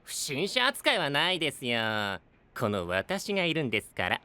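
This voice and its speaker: A man's voice: mid-range voice, huge pitch swings, normal speed, bright, forceful tone, clean tone, exaggerated voice. A man playing a teasing, self-assured young character in an anime voice drama, speaking politely with a needling edge. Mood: smug. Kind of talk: Japanese anime voice drama acting. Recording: music behind the voice.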